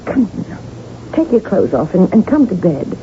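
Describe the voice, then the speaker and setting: An actress playing a sceptical, no-nonsense American wife in a 1980s radio drama, blunt, incredulous and insistent. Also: female voice, fairly low pitch, very animated, normal speed, warm tone. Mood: firm, dismissive. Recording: dull, noisy old radio broadcast.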